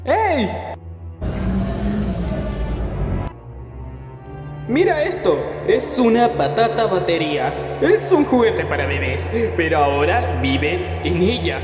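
A man voicing an excitable, boastful, dim little robot core in a Spanish fan dub of a video game, chatty and power-drunk. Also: high voice, measured pace, few pauses. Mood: mocking. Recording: echoey reverberant hall, music behind.

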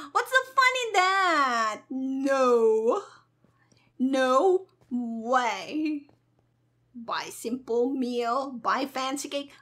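A woman doing casual, playful gameplay commentary as a streamer, with a giggly, animated, sassy manner. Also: high voice. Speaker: gaming streamer.

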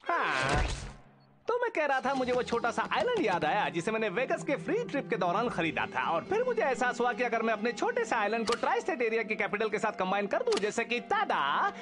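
A man's voice: very high-pitched, huge pitch swings, fairly quick, full character voice. A man voicing a melodramatic, boastful middle-aged evil scientist in a cartoon dub, with a grandiose, long-winded delivery. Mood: boastful scheming.

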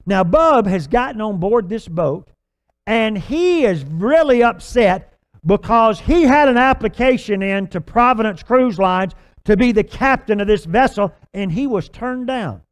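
Older male pastor, high clear voice. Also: a little slow. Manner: animated, gesturing storyteller with filler restarts. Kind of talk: storytelling sermon. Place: large church stage with purple lights.

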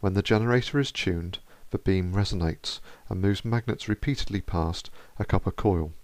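A male researcher reading a scripted explanatory narration in a steady, measured way. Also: deep voice, no room sound.

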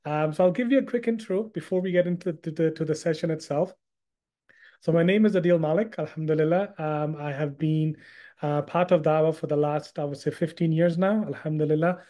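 A man in his thirties from Hong Kong speaks over a video call, teaching an online class. His voice sits a little high yet sounds mellow and relaxed. He is chatty, repeats himself and keeps asking listeners questions. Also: brisk. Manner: chatty, repeats words, asks the audience questions. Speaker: Islamic studies teacher.

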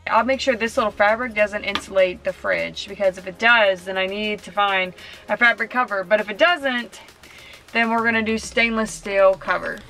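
A woman's voice: mid-range voice, moderate pace, pushed and bright, faint music behind. A woman in her twenties chatting casually to camera as she fixes up a camper van, talking while she works. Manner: chatty, talks straight to camera while working. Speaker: vlogger. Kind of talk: casual how-to vlog.

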